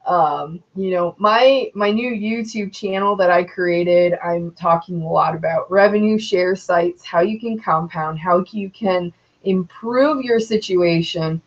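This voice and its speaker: Young woman, twenties, slow rambling vlog talk, lowish voice, dull lo-fi sound. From Metro Detroit, Michigan, USA. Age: 20s. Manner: rambling, many ums and you knows. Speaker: YouTuber.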